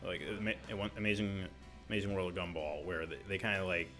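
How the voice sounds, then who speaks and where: A man's voice: low voice, quick pace, a bit bright, soft background music. A man co-hosting a podcast in casual chat, hesitant and full of fillers. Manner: lots of fillers and mm-hmms, hesitant. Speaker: podcast co-host.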